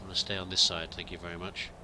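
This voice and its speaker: A man's voice: sits low, flat delivery, racing, background noise. A man thinking aloud while roleplaying a pilgrim in a video game, musing and hesitant with many restarts. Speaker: let's play gamer roleplaying a pilgrim. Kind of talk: in-character gameplay commentary.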